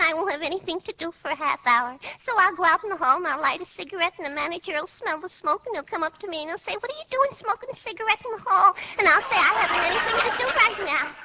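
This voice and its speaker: An actress playing a fussy, literal-minded maid in a radio comedy, rambling on matter-of-factly. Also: female voice, very high-pitched, animated, quick pace, sharp tone, heavily acted. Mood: rambling, aggrieved. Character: literal-minded, fussy young hotel maid with run-on logic. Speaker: comic actress. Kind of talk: 1950s radio comedy acting. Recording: noisy, muffled old radio broadcast recording.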